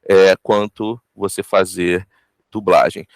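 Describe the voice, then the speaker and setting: A man's voice: low-pitched, flat delivery, takes it slowly, no echo. A man with a Brazilian Portuguese accent giving casual interview advice, explaining things with emphatic repetition. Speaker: voice actor giving career advice.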